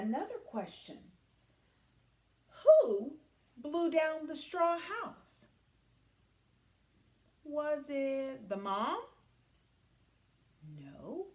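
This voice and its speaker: A woman teacher leading a read-aloud lesson for young children, speaking patiently and pausing after her questions. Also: a little high, a little mellow.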